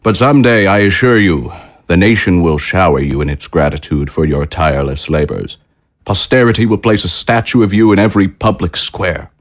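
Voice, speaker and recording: Deep-voiced, pompous statesman. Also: normal speed. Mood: grandly reassuring. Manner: formal, courtly, pompous. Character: smooth, grandiloquent male head of state, graciously self-important. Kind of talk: audio drama acting.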